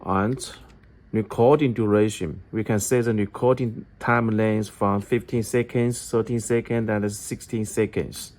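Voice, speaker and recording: A man giving a step-by-step product tutorial in halting English, often repeating his phrases. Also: on the low side, steady tone, darkish tone. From China. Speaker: product demonstrator for an electronics company.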